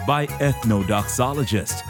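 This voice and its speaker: A man's voice: mid-range voice, a little slow. A man reading scripted announcer lines for a programme's intro and outro. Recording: music under the voice. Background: music.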